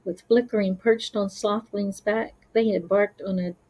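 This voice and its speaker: Woman reading a kids bedtime story, mellow relaxed voice, mid pitch. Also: measured pace. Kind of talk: scripted children's story narration.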